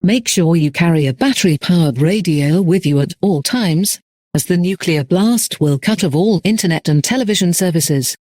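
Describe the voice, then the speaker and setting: A man reading a scripted emergency broadcast announcement in a formal, measured manner. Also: a little high, lively intonation, darkish tone, no room sound, clean studio sound.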